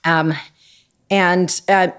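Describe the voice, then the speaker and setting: A woman with a somewhat low voice examines a witness at an inquiry. She is methodical, reading out document references slowly with frequent fillers. Recorded on a desk microphone in a hearing room. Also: flat delivery, a bit bright, clean tone. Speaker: lawyer questioning a witness. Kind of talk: formal examination at a public inquiry.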